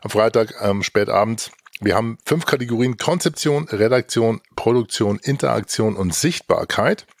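A man's voice: lowish voice, animated, moderate pace, creaky, hardly any pauses, no room sound, clean studio sound. A middle-aged man co-hosting a casual podcast review, talking in a nerdy, technical way. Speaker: podcast host.

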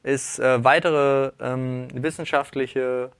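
Guy in his 30s musing out loud on a podcast, keeps restarting sentences, dry close sound. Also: medium pitch, level tone, slow, smooth, dry recording. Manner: rambling, self-correcting, reflective. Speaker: podcast host. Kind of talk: casual chat.

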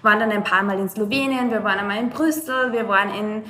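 A young woman from Lower Austria with an Austrian accent, telling her story as a podcast guest over a video call, with many hesitations. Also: fast, pushed and bright. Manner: hesitant, many filler sounds. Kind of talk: conversational storytelling.

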